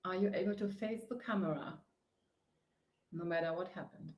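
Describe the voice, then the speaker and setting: A woman from Germany with a German accent, hosting her own inspirational live stream from a sofa, speaking earnestly and often pausing to search for words. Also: on the low side. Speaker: host, face yoga founder. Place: sitting on a sofa. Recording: echoey room.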